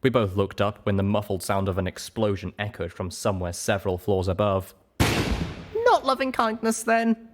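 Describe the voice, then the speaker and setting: A man reading a story aloud as expressive, scripted first-person narration. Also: deep voice, a little fast, hardly any pauses. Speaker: audiobook reader.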